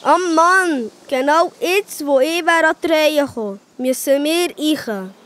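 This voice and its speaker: Children's audio play: woman as young Swiss German boy, keen but sulky, very high, slow childlike delivery. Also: a bit bright. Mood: sulky, whiny.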